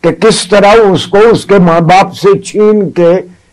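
An elderly Pakistani man in his seventies speaks in a fairly high voice at a very unhurried pace. He tells his stories with emphasis, repeating phrases to drive them home, as a guest on a studio talk show. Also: fairly even intonation, low-quality recording. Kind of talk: storytelling in a TV discussion. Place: indoor TV studio. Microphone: lapel mic.

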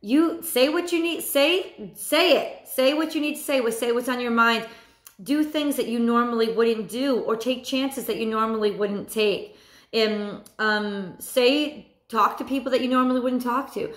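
A woman in her thirties with a hoarse voice, giving a chatty weekly tarot reading to a webcam at home. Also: a little high, a little slow, reverberant. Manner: animated, repeats phrases, chatty asides. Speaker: tarot reader. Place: small room at home.

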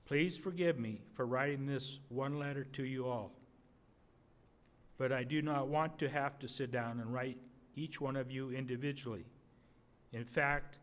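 An older man reading a wartime letter aloud at a podium microphone, measured and a little halting. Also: mid-range voice. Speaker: readers theater reader. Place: museum gallery, indoors.

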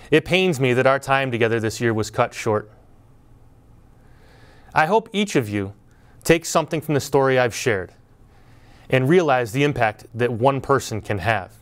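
A middle-aged man giving a prepared graduation speech from a podium, telling a story in a measured, earnest way. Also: medium pitch. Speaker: school speaker at graduation. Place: indoor studio before green backdrop.

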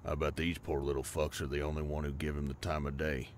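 A man's voice: extremely low pitch, little pitch movement, fast talker, slight background noise. A man playing a crude young bully in an audio drama, mocking and swearing. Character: sneering, crude young male bully sidekick. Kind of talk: audio roleplay acting.

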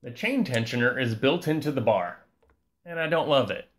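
A man giving a calm, scripted voice-over that walks through a repair step by step. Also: medium pitch, moderate pace. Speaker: repair channel host.

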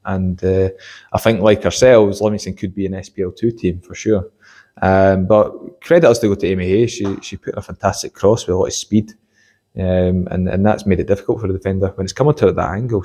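Young man, mellow low voice. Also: slightly rough. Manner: measured, many fillers and repeated words. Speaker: assistant football manager. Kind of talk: post-match interview. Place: corridor by a sports changing room.

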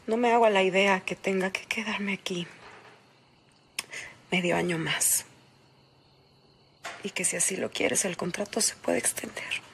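A dejected young Mexican wife in a telenovela. She speaks in a subdued, hesitant medium-pitched voice with faint room noise behind. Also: fairly even intonation, unhurried, creaky, pauses often, a bit bright. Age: young adult. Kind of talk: telenovela acting.

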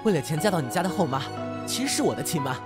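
An actor playing a playful yet wounded, proud young man in an expressive audio drama style. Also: a little high, racing, music in the background. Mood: bitter, hurt. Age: young adult. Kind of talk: Chinese audio drama acting.

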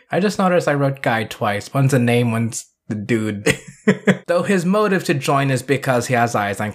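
A man with an American accent hosting a scripted retrospective, with wry, self-aware joking and casual asides. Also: mid-range voice. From United States. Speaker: video essay host.

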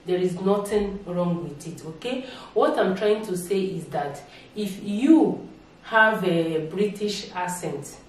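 A woman's voice: medium pitch, slow pace. Nigerian woman vlogging in an echoey room. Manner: animated, repeats words, mixes in Nigerian Pidgin. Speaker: YouTuber. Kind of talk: casual opinion vlog to camera. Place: indoors, living room with a sofa. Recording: echoey room, distant mic, faint background hiss.

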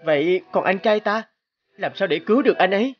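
A man's voice: sits high, animated, fairly quick, muffled recording. A man voicing a brave, dutiful young prince in a cartoon fairy tale, earnest and polite.

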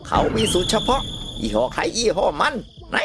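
A woman's voice: brisk, noisy background, close to an everyday voice. A woman voicing a cranky old village grandmother in a cartoon comedy, brash, blunt and scolding. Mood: grumbling, opinionated. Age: older.

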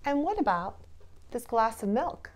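A woman's voice: on the high side, very animated, unhurried. A middle-aged woman, a preschool teacher, speaking in simple phrases and questions as in a children's lesson. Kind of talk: children's lesson and read-aloud.

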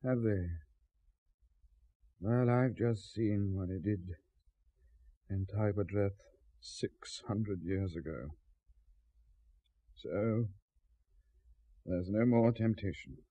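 An actor with a British accent playing a weary, obsessive modern man in a radio drama, clipped and halting. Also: on the low side, mellow, highs cut off. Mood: brisk, relieved. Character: weary, obsessive modern Englishman in a drug's grip.

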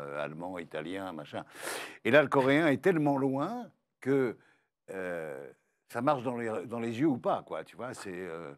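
Male voice actor, lively pitch swings, playful with words, expressive. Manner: playful, fond of wordplay, expressive. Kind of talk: casual chat.

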